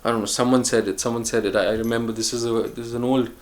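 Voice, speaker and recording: Earnest male vlogger, mid-pitched, unhurried musing delivery, pauses and self-corrections. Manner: earnest, speaks of choosing calm over harshness. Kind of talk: reflective solo monologue.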